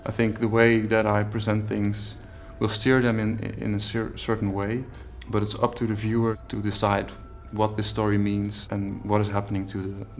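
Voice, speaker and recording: A man in an interview, reflecting on his creative work as an illustrator in a measured, explanatory way. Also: on the low side, monotone. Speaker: illustrator and storyteller. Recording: music behind the voice.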